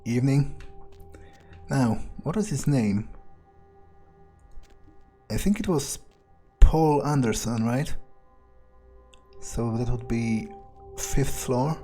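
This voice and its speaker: A man role-playing an arrogant, dryly amused vampire while playing a video game, musing aloud in a halting, deadpan way. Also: mid-range voice, soft background music. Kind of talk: in-character video game playthrough, thinking aloud.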